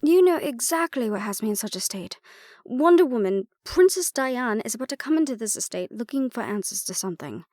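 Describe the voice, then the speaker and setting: A woman's voice: on the high side, very animated, brisk, a little mellow, no room sound, studio quality. A woman acting in an audio roleplay as an aristocratic archaeologist, sardonic, self-deprecating and prone to awkward rambling. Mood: anxious, exasperated.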